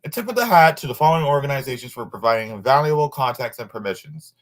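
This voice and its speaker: Man, medium pitch, animated intonation, informal book read-aloud, co-host, occasional stumbles and asides. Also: quick pace, rough recording.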